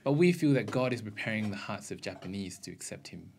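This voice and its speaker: A man's voice: on the low side, animated, fairly quick, vocal fry, a little mellow, husky. A man in his thirties from Australia, explaining and giving testimony as a missionary in an onstage interview with a handheld mic. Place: indoor stage with curtain backdrop. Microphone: handheld microphone.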